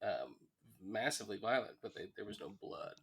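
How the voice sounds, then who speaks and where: A man's voice: on the low side, big pitch swings, normal speed, fairly bright tone, slightly rough. A man co-hosting a podcast, giving casual, conversational commentary. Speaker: podcast co-host.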